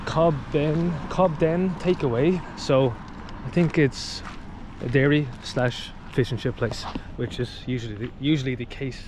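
A man's voice: mid-range voice, a little slow, noisy background. A man, chatting his way through a casual travel vlog, recorded on an action camera in a car and outdoors. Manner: chatty running commentary, upbeat. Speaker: travel vlogger.

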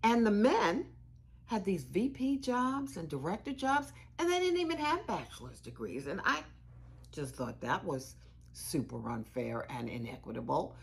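A candid older woman played by an actress reminisces conversationally. Her husky voice sits a little low and swings widely in pitch, with emphatic repeated phrases. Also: sing-song, a little slow, exaggerated voice. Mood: animated, indignant. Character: candid, animated law school dean reminiscing. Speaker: actor in a dramatized narrative. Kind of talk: conversational dramatized monologue. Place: room with bookshelves.

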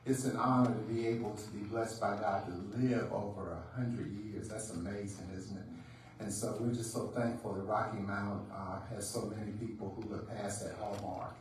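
A man's voice: lowish voice, steady tone, moderate pace, slight background noise. A city councilman speaking earnestly, with religious phrasing. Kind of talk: formal tribute remarks. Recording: echoey chamber from far off.

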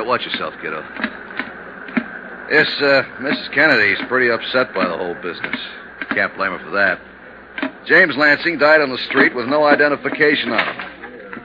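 A man's voice: mid-range voice, natural voice. An actor playing a big, blustery middle-aged insurance man in a 1950s radio drama, talking in a chummy, folksy way. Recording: noisy, dull 1950s radio recording.

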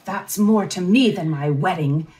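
Woman as a slow, indignant drunken fool. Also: fairly low pitch, dark tone, close to an everyday voice. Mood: indignant grumbling. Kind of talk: Shakespearean radio play acting.

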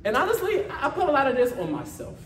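A man's voice: extremely high pitch, wildly swooping pitch, fast, fairly bright tone. An American man preaching an informal motivational sermon as a church leader, exhorting conversationally, repeating phrases and checking for agreement. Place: church meeting room with responding congregation. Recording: echoey room from far off, faint noise.

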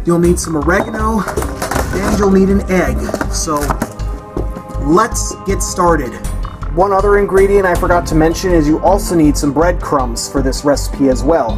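A young man hosting a casual home cooking tutorial in his kitchen, talking through each step with frequent restarts and self-corrections. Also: high voice. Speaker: cooking show host.

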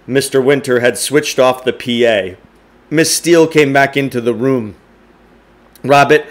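A middle-aged man reads a story aloud in a home living room. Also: mid-range voice. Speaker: teacher reading aloud. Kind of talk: audiobook-style story reading. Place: indoors, home living room with wood stove.

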